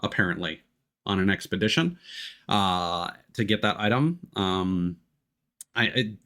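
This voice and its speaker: A man's voice: deep voice, lively intonation, measured pace, pushed and bright. A male livestreamer giving casual gameplay commentary, thinking aloud in a rambling, hesitant way. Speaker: video game livestreamer. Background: game music.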